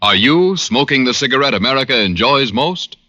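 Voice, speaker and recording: A man's voice: medium pitch, animated, brisk, bright, forceful tone, dry recording, dull audio. A man reading a 1950s radio commercial as an announcer, with an emphatic sales delivery. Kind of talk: 1950s radio advertising read.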